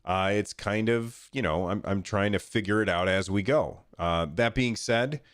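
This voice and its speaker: A man's voice: fairly low pitch, moderate pace, fairly bright tone, no room sound. A man hosting a podcast solo in casual, chatty talk, sounding nasal and congested with a cold, speaking directly to listeners on a close microphone. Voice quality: nasal, stuffed-up. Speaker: podcast host.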